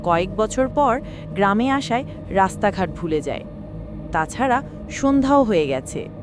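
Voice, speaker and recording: A woman's voice: mid-range voice, lively intonation, measured pace, music in the background. A woman narrator reading a scripted horror story.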